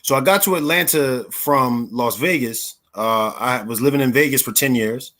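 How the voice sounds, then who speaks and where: A man's voice: medium pitch, moderate pace, a bit bright. American man in his thirties, mid-range voice. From Philadelphia, United States. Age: 30s. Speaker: interview guest, performer. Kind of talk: conversational personal storytelling.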